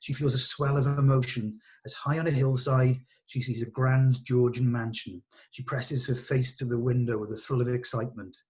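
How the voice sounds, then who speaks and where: A man's voice: mid-range voice, steady tone, moderate pace, few pauses, a little mellow. A man, speaking as a director in an informal rehearsal, in a hesitant, thoughtful and encouraging way. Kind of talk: informal rehearsal discussion, some reading of stage directions.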